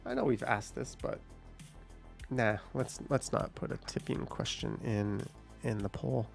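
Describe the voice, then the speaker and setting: Male stream host, mid-pitched with a gravelly edge, loose off-the-cuff commentary. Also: unhurried, soft background music. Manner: chatty, thinks aloud, swears casually. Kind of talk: casual live stream commentary.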